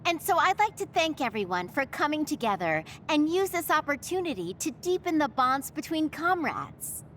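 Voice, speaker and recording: A woman's voice: sits high, sing-song, normal speed, a bit bright, a little hiss or hum. A woman voicing a sweet, eager young female team organiser in an anime game, earnest and polite. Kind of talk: anime video game dub acting.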